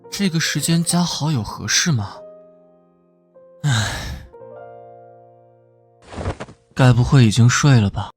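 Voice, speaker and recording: Expressive, fretful young male detective voiced by an actor. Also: medium pitch, drawn-out words, pauses often, soft background music. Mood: tender, hesitant. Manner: expressive, fretful, self-scolding. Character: warm-hearted, flustered young male police detective, doting older-brother type. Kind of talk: animated drama dialogue and inner monologue.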